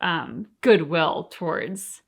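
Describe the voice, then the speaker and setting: A woman's voice: lowish voice, expressive, slow pace, creaky, husky. A woman co-hosting a reaction show, giving thoughtful, conversational commentary in a casual chat style. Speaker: co-host of a reaction channel.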